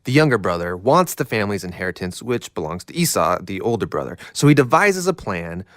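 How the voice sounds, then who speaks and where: Lowish guy voice doing explainer narration, casual, really varied melody, dry recording. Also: sing-song, a little fast, dry recording. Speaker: educational video narrator.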